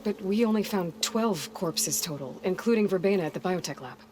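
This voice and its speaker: A woman as a low-voiced, wry young adventurer. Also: lively intonation, a little fast, natural voice. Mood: skeptical. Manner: direct, wry. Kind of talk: video game cutscene acting. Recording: loud music behind.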